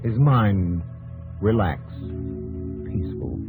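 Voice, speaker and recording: Casting: male narrator for vintage radio drama, lowish voice, solemn clipped phrasing, organ underscore. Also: big pitch swings, takes it slowly, gravelly. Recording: old radio broadcast with organ music.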